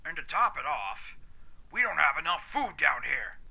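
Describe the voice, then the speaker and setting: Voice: medium pitch, very animated, normal speed, gravelly, muffled recording, very noisy. An actor playing an agitated, sarcastic shelter survivor in a biting tone, through an old playback filter. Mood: exasperated, angry. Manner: exasperated, biting. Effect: old recording playback filter. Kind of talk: audio drama acting. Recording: thin, band-limited radio-like playback.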